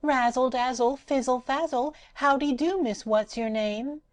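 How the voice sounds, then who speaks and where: A woman's voice: sits high, a little slow, voice pushed up. Woman voicing a giddy, boastful patchwork doll. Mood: gleeful. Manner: sing-song rhymes, gleeful and cheeky.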